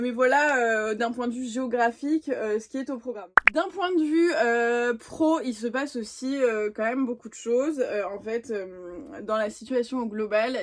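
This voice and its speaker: A young adult woman from France, chatting informally and using slang in a casual makeup vlog. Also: fairly high pitch. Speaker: vlogger.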